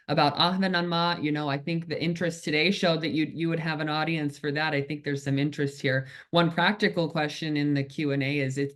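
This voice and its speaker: Effusive man hosting a webinar. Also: on the high side. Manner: effusive, full of thanks and praise. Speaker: host, moderator. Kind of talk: moderating a webinar Q&A. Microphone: video call.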